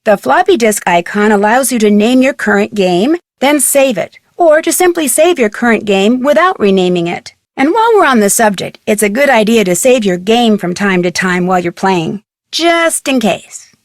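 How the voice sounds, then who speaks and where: A woman's voice: fairly high pitch, expressive, normal speed, few pauses, no room sound, studio quality, close to an everyday voice. An actress playing an upbeat, organized young girl detective, narrating scripted tutorial instructions for a mystery game. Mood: helpful, instructive.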